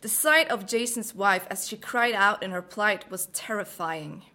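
Slow, melodic Norwegian woman. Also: medium pitch, pushed and bright. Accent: Norwegian, American-leaning English. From Norway. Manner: melodic, hesitant, many fillers. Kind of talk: read passage and casual talk.

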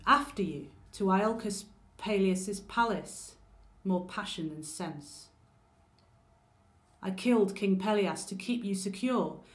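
A woman's voice: medium pitch, close to an everyday voice. Woman playing a bitter, vengeful betrayed wife. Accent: Lancashire. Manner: bitter, sarcastic, declamatory. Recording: roomy echo.

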